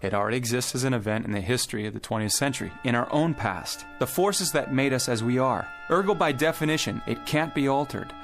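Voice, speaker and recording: Radio drama part for a man: skeptical, exacting gentleman of medium pitch, reasoning over faint music. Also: fast talker, hardly any pauses, natural voice. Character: skeptical, logical male gentleman arguing precisely. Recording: music under the dialogue.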